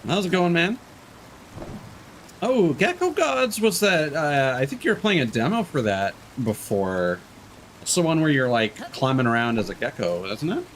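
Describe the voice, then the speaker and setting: A man's voice: on the high side, very animated, moderate pace, a bit bright, slight background noise. A man giving casual, informal commentary on a gaming stream, with some swearing. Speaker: live streamer.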